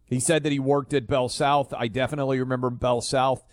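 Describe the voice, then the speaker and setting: A man's voice: mid-range voice, level tone, fairly quick, dry recording, studio quality. A man hosting a talk radio show, speaking in a conversational, opinionated way. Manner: conversational, opinionated, fillers and restarts. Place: radio studio. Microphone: studio mic.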